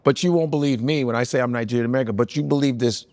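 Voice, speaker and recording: A man's voice: medium pitch, very fast, a little mellow. A man co-hosting a talk show, bantering with jokes and emphatic remarks. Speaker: podcast co-host. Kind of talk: casual chat.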